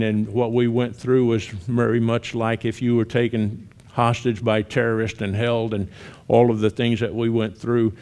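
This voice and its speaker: A man in his sixties with a Southern US accent, talking plainly and conversationally as a missionary guest speaker at a church, with some gentle humor. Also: medium pitch, clean tone. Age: 60s. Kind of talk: church testimony talk.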